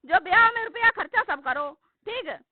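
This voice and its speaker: High-pitched woman on a tinny phone call. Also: animated, fairly quick, no room sound. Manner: accusing, presses questions over and over. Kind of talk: heated phone argument. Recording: tinny recorded phone call with crowd noise.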